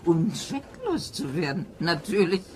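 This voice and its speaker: Drunk sly servant lady, lowish voice, slow slurry drawl, radio thriller, noisy background. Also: big pitch swings, darkish tone. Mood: drunken, confiding. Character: tipsy, sly, scheming married servant woman. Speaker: actress in an audio drama. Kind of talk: German radio thriller acting.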